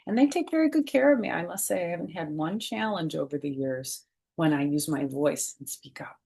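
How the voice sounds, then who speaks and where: A middle-aged woman reading a picture book aloud for children, with gentle teacherly phrasing and chatty personal asides. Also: fairly low pitch.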